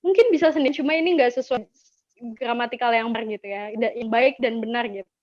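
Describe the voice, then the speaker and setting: Indonesian lady teaching languages on a webinar, high voice, chatty, dull lofi audio. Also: fast talker, dry recording. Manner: chatty, encouraging, repeats key words for learners. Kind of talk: informal language lesson.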